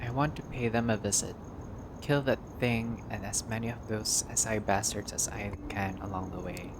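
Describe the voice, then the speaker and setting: A man's voice: on the low side, steady tone, moderate pace, noisy background, natural voice. A man voicing a blunt, determined, vengeful vampire woman in a visual novel game. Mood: grimly vengeful.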